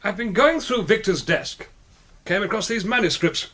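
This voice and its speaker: An actor playing a suave, faintly menacing English gentleman in a radio drama, polite and drily teasing. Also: sits high, animated, moderate pace, a little hiss or hum. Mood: urbane, teasing. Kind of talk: British radio drama acting.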